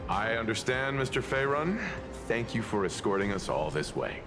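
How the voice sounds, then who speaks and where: A man playing a mature, scholarly gentleman in a video game, speaking politely and formally with boyish enthusiasm underneath. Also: lowish voice, sing-song, moderate pace. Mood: courteous, thoughtful. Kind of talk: anime-style video game voice acting. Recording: music behind the voice.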